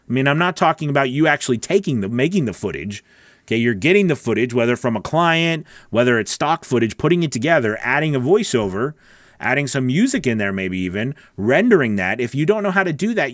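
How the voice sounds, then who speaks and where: A man's voice: medium pitch, dry recording. A middle-aged man giving casual, frank advice as a coach, conversational and often checking in with the listener, on a close studio microphone. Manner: frank, conversational, often checks in with "okay" and "you know what I mean". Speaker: voice-over coach and channel host. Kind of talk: casual advice talk to camera. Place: small home recording studio. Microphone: close broadcast microphone on a boom arm.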